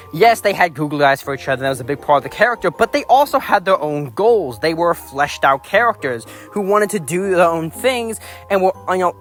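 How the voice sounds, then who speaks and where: Man, fairly high voice, casual video review, rambling, critical, exasperated, music behind. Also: a bit bright. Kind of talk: casual rambling opinion commentary. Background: background music.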